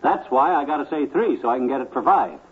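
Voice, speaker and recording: A man's voice: mid-range voice, animated, fast talker, breathy edge. A man playing a boastful, cocky cheapskate husband who loves to haggle, in a slangy 1950s radio comedy style. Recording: tinny, hissy 1950s radio recording.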